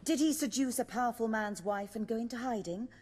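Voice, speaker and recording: An actress playing a poised, shrewd theatre troupe leader in a video game, speaking in a measured, formal way. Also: female voice, fairly high pitch, darkish tone, in a large hall, lightly acted. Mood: courteous, curious. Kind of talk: video game dialogue acting.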